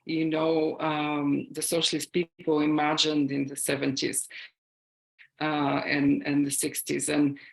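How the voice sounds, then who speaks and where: A woman from Bulgaria answering an audience question on a video call, speaking haltingly with many fillers and restarts. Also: deep voice, completely flat delivery, creaky, rough recording. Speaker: guest speaker.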